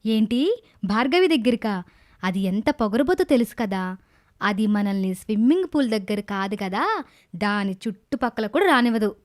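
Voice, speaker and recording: A woman's voice: mid-range voice, big pitch swings, normal speed, lightly acted. A woman voicing a kind, hardworking young female coconut seller, earnest and plain-spoken, in a children's cartoon tale. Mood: matter-of-fact. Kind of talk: children's animated moral tale dubbing.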